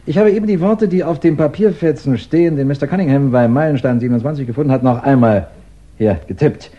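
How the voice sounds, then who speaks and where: An actor playing a calm, courteous police investigator who questions deliberately in a 1960s radio crime play. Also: male voice, mid-range voice, natural voice. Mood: measured, explanatory. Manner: polite, deliberate, probing questioning. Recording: slightly dull 1960s radio recording.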